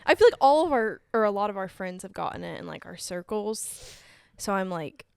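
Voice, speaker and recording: A woman's voice: medium pitch, big pitch swings, fairly quick, creaky, smooth, no room sound, studio quality. Chatty young woman podcast co-host. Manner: chatty, lots of "like" fillers. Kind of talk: casual chat.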